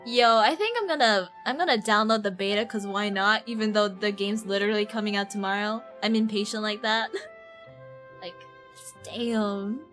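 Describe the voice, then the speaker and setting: A woman's voice: mid-range voice, moderate pace, fairly bright tone. A young woman from Ireland chatting casually as she streams a game, informal and slangy, often self-deprecating. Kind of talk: casual live stream chat. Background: game music.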